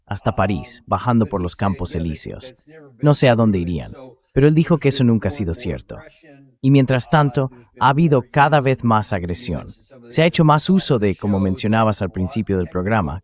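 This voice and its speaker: A man's voice: on the low side, darkish tone. A man hosting a political discussion in a synthetic voice-over, talking in a conversational, explanatory way. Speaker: interview host.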